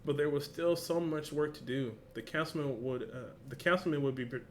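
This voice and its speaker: A man's voice: fairly high pitch, fairly quick, a little hiss or hum. A young man hosting a reaction video, reading a story aloud, stumbling over words and laughing at himself.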